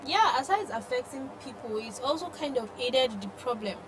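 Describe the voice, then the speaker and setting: A woman's voice: high-pitched, lively intonation, normal speed. A woman with a Nigerian accent co-hosting a conversational discussion of the news. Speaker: talk show co-host discussing the news. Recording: noisy room ambience.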